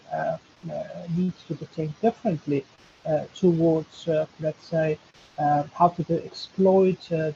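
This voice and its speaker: A man from Turkey, a professor speaking on a webinar panel in a halting way, with many fillers and restarts. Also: sits high, gravelly, warm tone. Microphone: video call. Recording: dull lo-fi video call audio with faint hiss.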